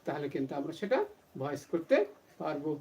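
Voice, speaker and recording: A woman's voice: low voice, expressive, quick pace, mellow. A woman teaching grammar to camera, explaining emphatically and repetitively, asking questions and answering them herself. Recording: distant mic, faint background noise.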